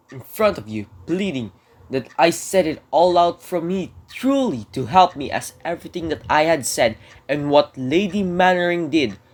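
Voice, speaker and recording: A man's voice: a little high, close to an everyday voice. A man playing a desperate jailed burglar in a radio drama, earnest and theatrical, with formal old-fashioned phrasing. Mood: pleading. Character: desperate jailed male burglar pleading his innocence. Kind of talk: dramatic radio play monologue and narration.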